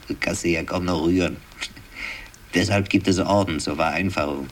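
An actor playing a bitter, cynical decorated soldier in a sardonic, brooding radio drama monologue, on a noisy old recording. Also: male voice, low-pitched, steady tone, unhurried. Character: bitter, cynical war-decorated soldier, lover of a young woman. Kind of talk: 1960s German radio drama acting.

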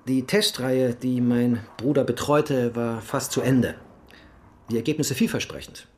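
An actor playing an earnest, measured doctor with a polite, guarded manner in a German radio drama. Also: male voice, mid-range voice, darkish tone, close to an everyday voice. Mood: earnest, proud. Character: earnest male hospital doctor, polite, guarded, loyal brother.

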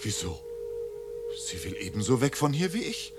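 An actor playing a passionate, impatient young Englishman in a radio drama, agitated and emphatic, moving between hushed lines and outbursts. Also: mid-range voice, sing-song, normal speed, loud music behind the voice, lightly acted. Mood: insistent. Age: young adult. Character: young, hot-headed, impatient, passionate Englishman, scornful and pleading.

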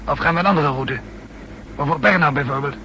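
A man's voice: a little fast, pushed and bright. An actor playing an uneasy, cowardly traitor in a 1960s radio drama, polite and hesitant with his questions. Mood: nervous, questioning. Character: anxious male traitor, uneasy driver full of questions. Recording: noisy old radio recording, car rumble.

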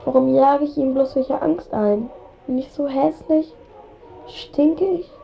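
Woman as a blunt, high-voiced young boy. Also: a little slow, vocal fry, lo-fi sound, soft background music. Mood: hurt, defiant. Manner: blunt, earnest. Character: stubborn, wounded, blunt ten-year-old boy. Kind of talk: radio drama acting.

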